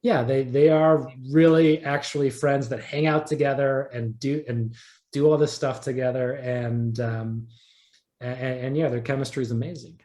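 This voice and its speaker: A man's voice: medium pitch, fairly even intonation, normal speed, warm tone. A man talking casually as an interview guest, peppering loose, restarted sentences with likes. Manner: conversational, peppered with likes and repeated starts. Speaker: interview guest, audio drama creator.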